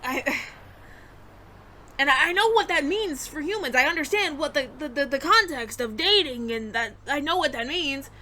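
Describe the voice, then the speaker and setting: A woman's voice: high voice, expressive, bright, forceful tone, a little hiss or hum, voice pushed up, heavily acted. A woman playing a flustered, smitten alien roommate who stammers nervously and earnestly in an intimate audio roleplay. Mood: flustered, startled. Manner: nervous stammering, halting, repeats words.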